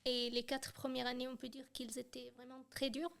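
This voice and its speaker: A woman's voice: sits high, completely flat delivery, a little fast, gravelly, a bit bright. A young woman from Syria, a podcast guest talking hesitantly, with many fillers and restarts. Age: young adult. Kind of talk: casual chat.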